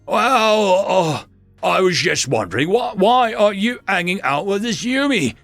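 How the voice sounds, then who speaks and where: Guy putting on a high shouty orc kid, thick accent, stammery, dry room, music faint. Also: sing-song, a little slow, dry recording, full character voice. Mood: sheepish. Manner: shouting then stammering nervously. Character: tough teen orc boy, loud bully turned sheepish. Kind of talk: storybook narration.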